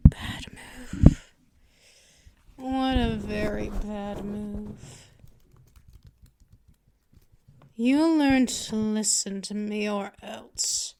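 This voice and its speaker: A woman's voice: on the high side, darkish tone. A woman playing a dominant, patient vampire mistress in a scripted ASMR roleplay, speaking in a condescending, teasing purr straight to the listener. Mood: threatening. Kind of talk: scripted ASMR roleplay, intimate second-person address.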